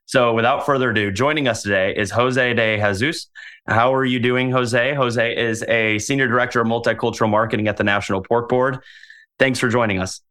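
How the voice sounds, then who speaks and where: A man's voice: medium pitch, fairly even intonation, brisk, pushed and bright. A male podcast host who reads a scripted intro and then chats with his guest in an upbeat way. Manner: upbeat, enthusiastic.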